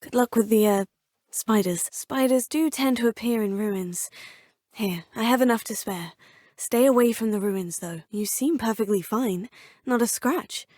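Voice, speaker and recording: An actress voicing a polite, curious young student witch in a game, asking measured questions. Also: on the high side, darkish tone, no echo. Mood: calm, polite. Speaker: player character. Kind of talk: video game voice lines. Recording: dry studio recording.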